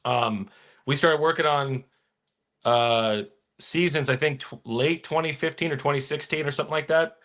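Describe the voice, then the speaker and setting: A man's voice: fairly high pitch, normal speed, a bit bright. A man in his thirties, a comic book artist guest, talking in a rambling way with frequent laughs in a casual interview. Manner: rambling, laughing between phrases.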